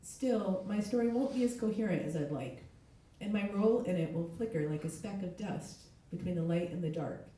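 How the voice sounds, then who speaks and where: A middle-aged woman, a literary translator, reading aloud at a live event, her voice hoarse with a cold. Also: on the low side, dark tone. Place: echoey indoor event space. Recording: very echoey room, distant mic.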